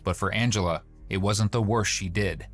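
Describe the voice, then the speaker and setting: A man reading scripted true crime narration in a measured, grave way. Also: lowish voice, faint music behind.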